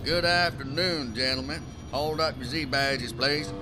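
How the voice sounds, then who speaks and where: An actor playing a chatty, tired security guard in an audio drama, casual and folksy. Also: male voice, medium pitch, fairly even intonation, unhurried, over loud music, lightly acted. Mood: friendly, tired.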